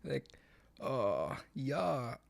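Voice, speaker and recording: A young man with a Filipino accent chats casually on a podcast. He rambles, drifts off on jokey tangents and keeps an easy, mid-pitched voice. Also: big pitch swings, very slow, vocal fry. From Philippines. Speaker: podcast co-host. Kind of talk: casual chat.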